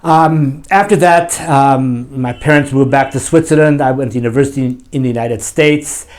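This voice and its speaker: A man who directs a church's overseas mission, calmly answering interview questions in a measured way with some hesitations. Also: mid-range voice.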